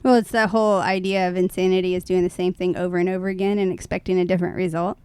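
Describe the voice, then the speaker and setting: A woman co-hosting a podcast, talking in a thoughtful, self-reflective and conversational way, with hesitant pauses. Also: fairly low pitch, flat delivery, darkish tone, clear, resonant tone, no echo. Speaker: podcast co-host.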